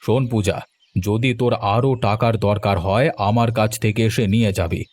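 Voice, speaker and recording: A man's voice: lowish voice, moderate pace, darkish tone, husky. A man playing a kindly, generous village headman in a cartoon, hearty and avuncular. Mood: approving. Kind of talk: Bengali cartoon dialogue acting.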